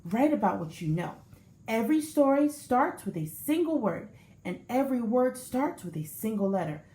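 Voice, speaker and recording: A woman's voice: on the high side, moderate pace, echoey room, rough recording. A woman voicing a patient, bookish older sister who encourages her sibling in a storybook. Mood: encouraging. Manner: calm, encouraging.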